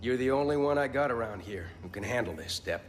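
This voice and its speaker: A man's voice: medium pitch, animated, fast, in a large hall, a little hiss or hum, close to an everyday voice. A man playing a grave, plain-spoken resistance leader giving an earnest mission briefing in a video game.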